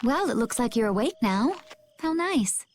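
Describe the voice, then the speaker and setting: A woman voicing a composed, gently teasing young woman in a video game, with a calm, softly amused delivery. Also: on the high side, normal speed, faint music behind.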